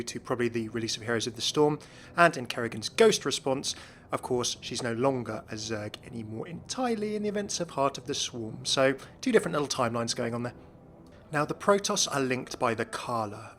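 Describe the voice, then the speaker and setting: A man's voice: mid-range voice, a bit bright, a little hiss or hum. A man giving explanatory narration about video game lore. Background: background music.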